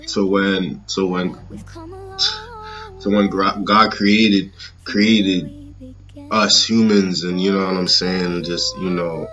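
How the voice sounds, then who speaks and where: A thirty-year-old man giving a casual personal monologue to viewers, rambling and halting, often checking in with the listener. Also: low-pitched, fairly even intonation, a bit bright, highs cut off, faint music behind. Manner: rambling, halting, often checking in with "you know what I'm saying". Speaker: vlogger.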